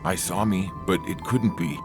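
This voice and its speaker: A low-pitched, disbelieving defendant acted out by a male narrator protests his innocence with insistent emphasis. It is dramatic dialogue from a science fiction audio story, with music underneath. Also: moderate pace, lightly acted. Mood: desperate disbelief. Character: defiant, disbelieving accused man on trial.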